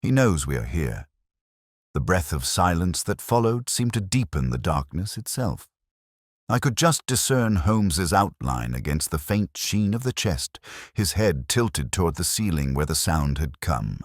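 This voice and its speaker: A man narrating a scripted detective story in a measured, atmospheric storytelling manner. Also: deep voice, big pitch swings, no room sound, clean studio sound.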